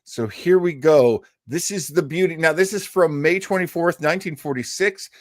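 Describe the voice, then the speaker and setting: A man's voice: fairly high pitch, moderate pace, no echo, studio quality. A man hosting a show, chatting casually and introducing old radio programs with jokey asides. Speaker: host.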